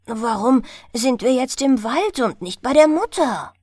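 A woman plays a small fairy-tale boy, puzzled and exclaiming. The voice is high and animated. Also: measured pace, no room sound, clean studio sound. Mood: astonished. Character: small boy, astonished, just woken from sleep.

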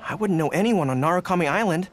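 With music behind, a woman plays a boyish young dog-eared general from a video game. He is earnest and easily flustered, and his pitch jumps around in a very lively way. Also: quick pace. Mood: earnest, determined. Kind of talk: video game character dialogue. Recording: music under the voice. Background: game background music.

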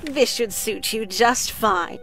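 A woman voice acting a stern, pious older nun in a visual novel, speaking in a deliberate, condescending manner. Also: fairly high pitch. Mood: calm, instructive. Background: game background music.

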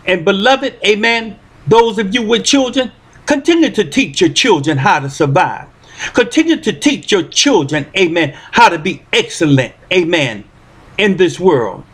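A man's voice: sits high, a bit bright. A man in his forties preaching a freestyle sermon at home into a handheld microphone, putting "amen" after nearly every phrase. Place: living room at home. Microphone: handheld microphone close to the mouth.

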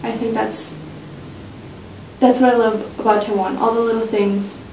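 A woman's voice: flat delivery, moderate pace, darkish tone. A young woman student casually sharing personal memories in an interview, recorded in a small office. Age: young adult. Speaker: study abroad student. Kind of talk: casual interview testimony, reminiscing. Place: small office meeting room, indoors. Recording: roomy, distant mic, faint low rumble.

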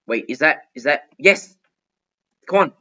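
A man's voice: fairly high pitch, lively intonation, a little slow, bright, forceful tone. Chatty male gamer on a dull mic. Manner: chatty, talking to himself, repeats phrases. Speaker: gaming video host. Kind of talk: excitable gameplay commentary. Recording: dull, lo-fi microphone.

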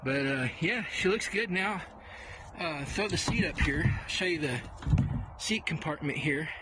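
Older man, relaxed vlog host, slightly high voice, windy outdoor recording. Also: measured pace. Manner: easygoing, repeats "really, really", many "uh" fillers. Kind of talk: casual how-to vlog. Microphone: clip-on mic, camera on tripod and handheld. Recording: wind noise on the mic. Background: wind.

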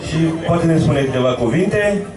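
High, booming announcer man in an echoey hall. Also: lively intonation. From Romania. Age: middle-aged. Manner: projected, calling out places and names. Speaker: award ceremony announcer. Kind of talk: loud ceremony announcing. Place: banquet hall with draped walls. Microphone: handheld mic through PA, heard from camera. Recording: echoey hall, distant camera, music and crowd.

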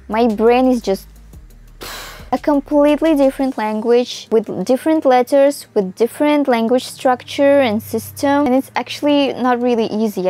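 A woman in her mid-twenties from Russia, a native Russian and Tatar speaker, talks in a chatty, expressive way with a Russian accent in a casual vlog to camera. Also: medium pitch. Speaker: vlogger. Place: small room indoors. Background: music.

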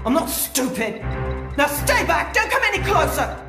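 A woman's voice: mid-range voice, slow pace. A woman playing a defiant, distrustful young boy protecting his sister in a video game. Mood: defiant, scared. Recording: echoey room, loud music behind.

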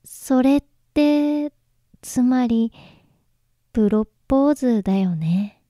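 A woman's voice: fairly high pitch, lively intonation, slow, mellow, dry recording, studio quality. An actress playing a shy, lovelorn young woman, wistfully narrating and speaking in a radio drama. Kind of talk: radio drama acting with first-person narration. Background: faint instrumental music.

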